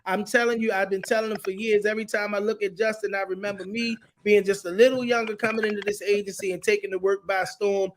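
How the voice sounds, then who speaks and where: A high-pitched man hosts a casual live stream, full of slang and big praise. A faint hiss sits under his voice. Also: little pitch movement, quick pace, hardly any pauses. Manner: hyped, slangy and effusive. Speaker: show host.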